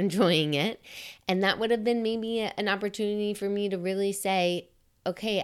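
A woman podcast host with a scratchy, slightly raspy and nasal voice, talking in a gentle, encouraging and reflective way, often checking in with "right?". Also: on the low side. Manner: gentle, encouraging, thinks aloud with frequent "right?". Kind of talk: reflective motivational talk.

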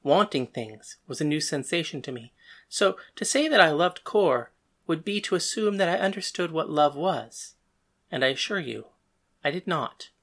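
Death god, narrating lead in audio drama: female actor, deep-pitched, brooding, bitter, defiant. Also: expressive, normal speed. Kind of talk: first-person dramatic narration and dialogue.

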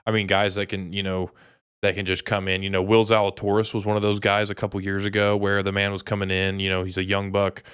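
A man's voice: on the low side, monotone, fast talker, fairly bright tone, smooth, dry recording, clean studio sound. A young American man from Dallas co-hosting a sports podcast, chatting casually about golf in slangy, informal talk. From Dallas, Texas, United States.

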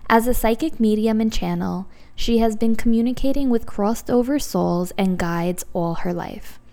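A woman hosting a podcast, reading a scripted guest introduction in a measured, list-like way. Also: a little high, mellow. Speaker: podcast host.

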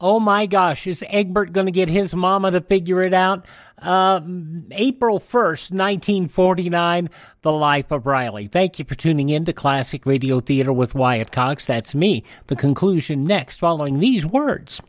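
A man's voice: sits high, moderate pace, no room sound, clean studio sound. A man hosting a classic radio program, giving scripted broadcast announcements. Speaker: radio show host.

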